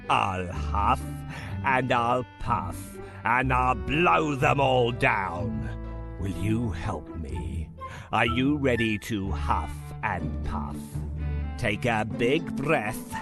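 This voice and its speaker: A man's voice: lowish voice, exaggerated voice. A man voicing a greedy, menacing big bad wolf in a children's storybook game, growling and drawing out his words. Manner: growling, slow and drawn-out.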